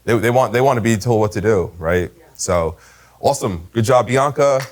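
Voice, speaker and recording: A man's voice: lowish voice, normal speed. Emphatic, slangy male sales trainer. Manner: emphatic, animated, tags "right?" often; slangy and informal. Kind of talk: casual training talk.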